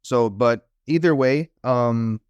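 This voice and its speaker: Man, middle-aged, from New York, podcast guest, reflective storytelling, code-switching into Spanish, crisp voice. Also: mid-range voice, slow pace, a little mellow, no echo, dull audio. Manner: reflective storyteller who slips into Spanish, many "you know" fillers. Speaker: guest, author and consultant. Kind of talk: casual interview talk.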